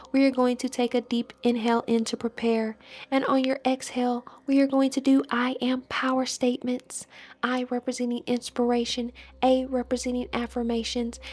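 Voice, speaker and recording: A woman leading a guided meditation and affirmations in a soft, breathy, soothing voice with measured, deliberate phrasing. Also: on the high side. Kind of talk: slow guided meditation narration with spoken affirmations. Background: background music.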